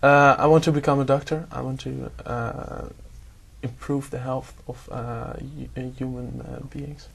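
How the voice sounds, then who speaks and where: A man's voice: medium pitch, little pitch movement, measured pace, vocal fry, husky. A young man with a Dutch accent, a candidate in a speaking exam, answering hesitantly with many filler sounds. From Netherlands. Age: young adult.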